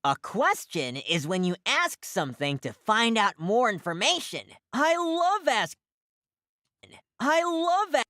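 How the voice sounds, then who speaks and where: A cheerful, curious puppy from a preschool learning cartoon, acted by a woman. The delivery is slow and sing-song, with huge swings in pitch, as if teaching small children. The recording is dry and close. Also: very animated, a bit bright, dry recording, heavily acted. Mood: cheerful, explaining. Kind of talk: scripted children's educational cartoon dialogue.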